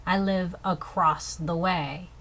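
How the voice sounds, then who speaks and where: A young woman from the States, teaching language phrases slowly and repeating each one before translating it. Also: on the low side, fairly even intonation, fairly bright tone. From southern United States. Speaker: language learner teaching vocabulary. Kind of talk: slow, careful language lesson with repeated phrases.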